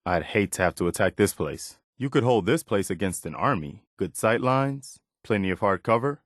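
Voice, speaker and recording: An actor playing an earnest, wary militia man in a post-apocalyptic video game, speaking in a measured, conversational way. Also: lowish voice, animated, no room sound, clean studio sound. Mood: watchful, conversational. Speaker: video game companion character. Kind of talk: game voice acting, short spoken reactions.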